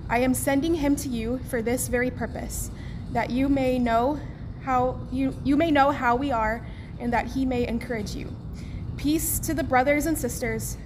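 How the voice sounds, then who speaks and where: Woman reading scripture over background noise. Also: on the high side. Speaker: scripture reader. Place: church.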